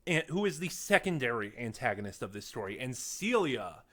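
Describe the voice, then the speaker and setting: A man reviewing audio dramas in a casual, unscripted monologue, speaking haltingly with emphatic points and quieter trailing asides. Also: medium pitch, very animated.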